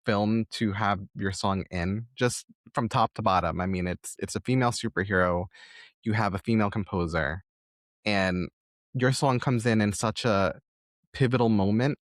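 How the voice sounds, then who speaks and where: A man's voice: lowish voice, moderate pace, no room sound, studio quality. A man hosting a conversational podcast interview, speaking in an earnest, effusive and reflective way. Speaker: podcast host.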